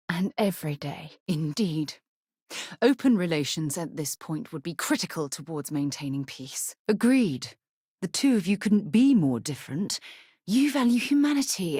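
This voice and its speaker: A principled space explorer leader in a video game, a woman with a low-set, slightly husky voice. She speaks in a measured, formal and earnest way. The studio sound is dry and close. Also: dark tone, no echo, full character voice. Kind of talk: game voice lines.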